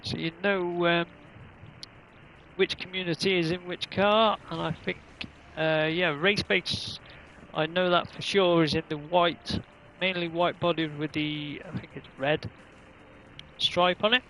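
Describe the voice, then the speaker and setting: A man's voice: a little high, steady tone, measured pace, fairly bright tone, slight background noise, rough recording. A man commentating casually on an online racing livestream, rambling along in an easygoing, hesitant way.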